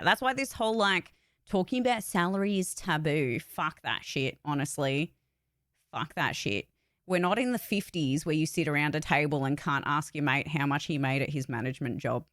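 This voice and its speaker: Low-voiced woman, blunt and candid. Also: expressive, normal speed, a bit bright, dry recording, studio quality. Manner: blunt, emphatic, swears casually. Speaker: voice actor and channel host. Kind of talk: casual livestream chat, candid opinions.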